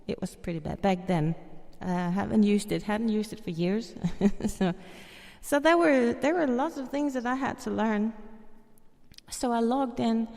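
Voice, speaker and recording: Danish woman chatting away, mellow voice, lots of ums and little laughs, reverby sound. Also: mid-range voice. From Denmark. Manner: chatty, laughs often, many fillers and restarts. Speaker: guest performer telling her story. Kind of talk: casual storytelling.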